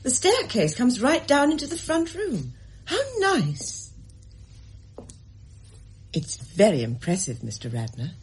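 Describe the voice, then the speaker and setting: A woman's voice: a little high, huge pitch swings, takes it slowly, pauses often, slight background noise, full character voice. An actress playing a composed, shrewd woman looking for a house, cool and insistent in her questions in a radio drama. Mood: probing.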